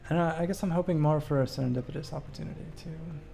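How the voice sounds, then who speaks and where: A man streaming a game talks in a soft-edged, mellow voice with noticeable fry. He is reflective and halting, thinking through his answers as he goes. There is light background noise with keyboard typing. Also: on the high side. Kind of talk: casual livestream commentary while playing, answering chat questions.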